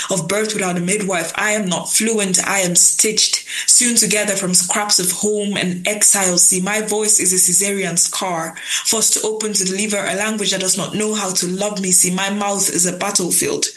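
Bright-voiced woman, poet. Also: mid-range voice, rough recording. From Nigerian family. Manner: rhythmic, emphatic recitation. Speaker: slam poet guest. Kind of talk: spoken word poetry performance and interview.

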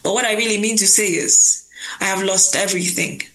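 A woman's voice: medium pitch, steady tone, brisk, bright, forceful tone, rough recording. A young woman who is a slam poet, reciting spoken word with rhythmic emphasis and then talking casually in an interview. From Nigerian family.

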